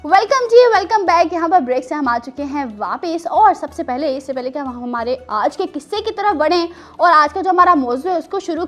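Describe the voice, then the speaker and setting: Children's show host, female, Pakistani accent, high pitch, encouraging teacher-like delivery, music behind. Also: fairly bright tone. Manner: encouraging, teacherly, with question prompts. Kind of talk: presenting a children's show, addressing young guests and viewers.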